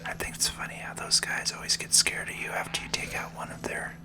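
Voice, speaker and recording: A person whispering soft, soothing, breathy ASMR commentary while playing a video game. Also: fairly quick. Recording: game music behind the voice. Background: video game music.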